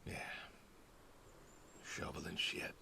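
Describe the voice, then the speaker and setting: A man's voice: slow, bright, forceful tone, a little hiss or hum. A man playing a stoic, weary older pig farmer and war veteran in a game, laconic and folksy. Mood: reflective, subdued. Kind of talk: naturalistic video game dialogue.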